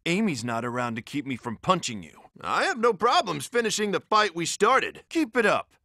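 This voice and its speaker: A tough, cocky cartoon echidna for a video game. His voice is forceful and swings widely in pitch, with blunt, grumbling delivery. It is recorded very dry. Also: sing-song, normal speed, no echo, heavily acted. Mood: gruff, cocky. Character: brash, tough, dim male red echidna brawler.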